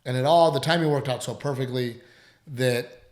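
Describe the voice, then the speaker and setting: Male co-host, casual home podcast, a bit hoarse with a cough, meandering delivery, roomy sound. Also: medium pitch. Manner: rambling, halting, full of restarts. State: lingering dry cough. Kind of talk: casual chat.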